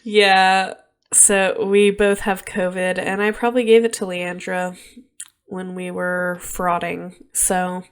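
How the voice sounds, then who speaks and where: A woman co-hosting a podcast in casual chat, husky and a bit sick, chatty and opinionated, laughing often. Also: on the low side, flat delivery, takes it slowly. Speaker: podcast co-host.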